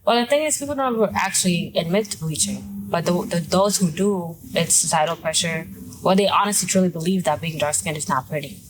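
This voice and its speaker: A woman from South Sudan, a talk show guest speaking in a measured, matter-of-fact way. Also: on the low side, crowd noise, rough recording. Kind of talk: casual talk show discussion.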